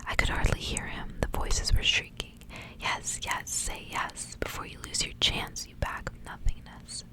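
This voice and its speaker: A woman whispering softly and breathily as an ASMR host, reading stories and chatting casually. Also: unhurried. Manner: whispering throughout, soft and breathy. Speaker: ASMR reader and channel host.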